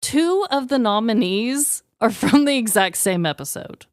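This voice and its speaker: A woman with a high, animated voice co-hosts a podcast. She talks casually and expressively, with irreverent comic banter. The sound is close and dry. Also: unhurried, smooth, dry recording. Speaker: podcast host. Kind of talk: casual comedic banter, presenting joke awards.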